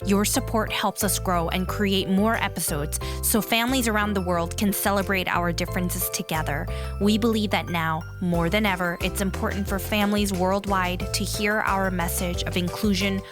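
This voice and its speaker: A woman's voice: medium pitch, steady tone, normal speed. Mom hosting a kids podcast, upbeat, music playing under her. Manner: upbeat, reads out listener messages. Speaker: podcast host.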